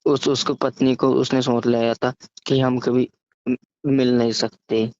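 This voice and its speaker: A man casually telling a story over the phone, halting and often stammering, repeating syllables and words. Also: mid-range voice, flat delivery, darkish tone. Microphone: phone call. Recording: muffled, echoey phone call recording.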